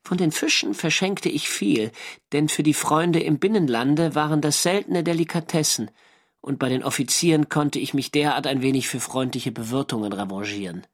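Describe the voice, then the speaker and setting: An actor playing a German navy skipper who narrates his First World War diary in a measured, dry, literary way for a radio drama. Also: a little high. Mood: matter-of-fact.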